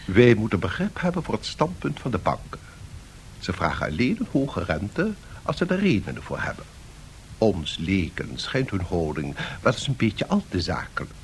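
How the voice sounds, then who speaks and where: An actor playing a patronising, evasive international official in a satirical radio drama, speaking in a measured, officious, placating way. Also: male voice, fairly low pitch, huge pitch swings, slight background noise. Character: evasive, patronising male League of Nations bureaucrat.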